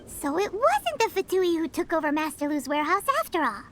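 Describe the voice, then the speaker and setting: A woman voicing a tiny, cheerful fairy companion in a video game, with a squeaky childlike voice and chirpy, exclaiming delivery. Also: very animated, fairly quick, fairly bright tone, slight background noise, full character voice. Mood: curious, chatty.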